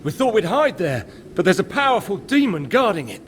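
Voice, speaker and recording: High-pitched frightened deserter. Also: male voice, big pitch swings, fairly quick, slight background noise. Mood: anxious, pleading. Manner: anxious, pleading. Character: frightened, pleading male deserter hiding from monsters. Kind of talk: fantasy game character acting.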